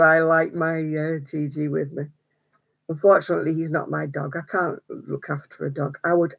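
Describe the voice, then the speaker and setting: A woman's voice: sits low, gravelly. Older woman chatting on a livestream. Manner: chatty, greets viewers by name, laughs often. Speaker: crochet vlogger. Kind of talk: casual live-stream chat. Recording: slightly dull home stream audio.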